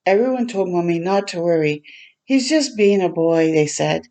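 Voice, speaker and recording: A woman hosting a storytime for children, reading a picture book aloud in a gentle way and pausing to chat and explain simply. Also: on the low side, lively intonation. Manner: gentle, simple explaining to young listeners, with pauses to comment.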